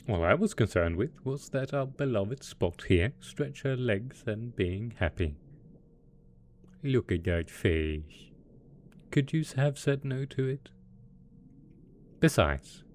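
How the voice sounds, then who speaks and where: A gleeful mad scientist villain boss, acted by a man, speaks in a low voice that swings widely in pitch. He is theatrical and smug, doting with playful false innocence, as in a scripted roleplay drama. Faint noise sits behind the voice. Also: a little mellow.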